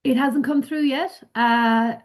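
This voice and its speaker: A woman's voice: high voice, steady tone, slow. A woman moderating a live online training session over a video call. She speaks conversationally and addresses the audience directly. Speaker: webinar moderator.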